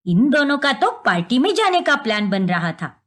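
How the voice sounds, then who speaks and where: A stern older mother-in-law from a storybook drama, acted out by a woman. She is bossy and suspicious, scolding with a sharp tongue. Her delivery swings up and down in lively, animated steps. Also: high voice, normal speed. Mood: angry, accusing.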